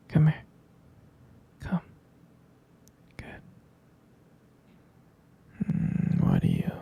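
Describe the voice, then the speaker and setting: A man playing a gentle, reassuring young vampire boyfriend in an intimate sleep-aid ASMR roleplay, murmuring tenderly and hesitantly in a breathy, husky whisper. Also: a little high, dull audio, heavily acted. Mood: soothing, concerned.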